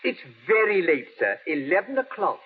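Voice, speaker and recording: An actor playing a polite, formal, deferential butler in old-time radio drama style. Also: male voice, high-pitched, very animated, normal speed, a little hiss or hum. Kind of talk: 1930s-40s radio drama acting. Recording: muffled old radio broadcast.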